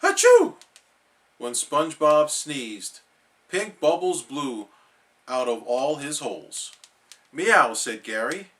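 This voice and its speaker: A man narrating a children's bedtime story as an expressive reader. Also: mid-range voice, slow, recorded from a distance.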